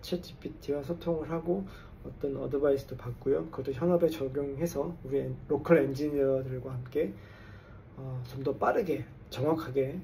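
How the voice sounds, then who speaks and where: A man's voice: mid-range voice, mellow, distant mic. A man in his forties from South Korea, a little tipsy, talking casually to camera as an engineer and coach and mixing English jargon into his talk. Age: 40s. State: tipsy after drinks. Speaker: construction engineer and English coach hosting a channel. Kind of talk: casual vlog monologue to camera.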